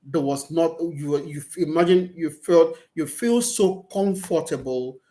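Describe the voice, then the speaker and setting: A middle-aged Nigerian-accented man speaks in a fairly high voice for a man, heard over a video call from a small room. He pauses and repeats words while he looks for the right phrasing, in an easy conversational way. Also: warm tone. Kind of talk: casual interview conversation. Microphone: video call, laptop or webcam.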